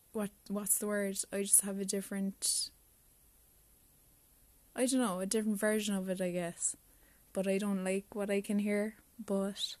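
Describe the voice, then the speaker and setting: Irish woman, twenties, casual podcast host; flat, rambling, sweary. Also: medium pitch, no room sound. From Ireland. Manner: rambling, swears freely, talks to herself. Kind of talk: casual solo podcast chat.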